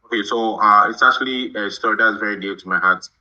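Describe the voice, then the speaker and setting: Halting low-voiced man from Ghana, lo-fi. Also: sharp tone. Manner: halting, repeats words while thinking. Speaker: guest, shoe brand founder. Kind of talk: podcast interview.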